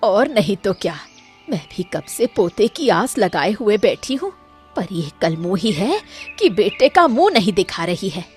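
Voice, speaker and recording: A woman's voice: medium pitch, big pitch swings, unhurried, faint music behind. A woman voicing a bitter older mother-in-law in a cartoon story, harsh and taunting. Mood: resentful taunting. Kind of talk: Hindi cartoon story dialogue.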